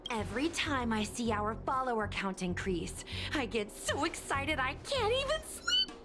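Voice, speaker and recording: An excitable young female streamer from a video game. Her voice is high and swings in pitch with peppy, teasing chatter, over music. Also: moderate pace. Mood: excited, teasing. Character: energetic, excitable young woman, follower-chasing streamer.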